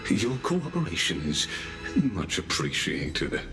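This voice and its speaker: Very deep, suave scheming official. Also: male voice, very animated, music in the background, heavily acted. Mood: courteous, veiled menace. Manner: formal, theatrical, unctuous, with drawn-out pauses. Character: suave, scheming adult male official, courteously menacing. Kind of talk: video game voice acting.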